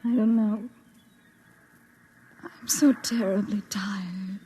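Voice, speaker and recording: A woman's voice: mid-range voice, fairly even intonation, slow, mellow, slightly rough. A woman acting a despairing, exhausted, lonely young woman in the emotional style of 1950s radio drama. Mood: weary, doubtful. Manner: anguished, weary, often whispering. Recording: noisy 1950s radio recording.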